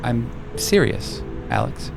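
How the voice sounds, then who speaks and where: A man's voice: mid-range voice, sing-song, slow, creaky, over music, pitched above the performer's own voice, exaggerated voice. A man playing a man in his late twenties, a patient but wounded lover, in an audio drama. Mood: earnest, hurt.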